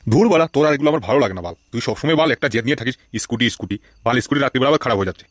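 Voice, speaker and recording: A man's voice: medium pitch, expressive, rapid-fire, no room sound, clean studio sound, natural voice. A man voicing a scheming, coarse, blustering gangster in a comedy cartoon. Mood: irritated, scolding. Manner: blustering, coarse, swearing constantly. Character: scheming, foul-mouthed male gangster and political rival in comedy cartoon. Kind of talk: Bengali comedy cartoon dubbing.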